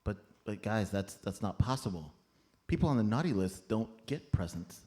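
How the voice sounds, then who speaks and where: A man's voice: lowish voice, animated, moderate pace, warm tone, natural voice. A man playing an anxious, earnest Christmas elf in a live comedy radio play. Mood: worried. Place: live on a comedy club stage. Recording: noisy live stage recording.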